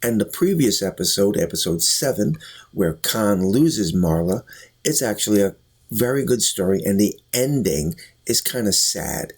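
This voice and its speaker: A man speaks in a husky voice with lively swings of pitch. He sounds like an earnest reviewer, laying out his commentary deliberately and explaining each point as he goes. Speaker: YouTube reviewer. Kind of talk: talk-to-camera review and commentary.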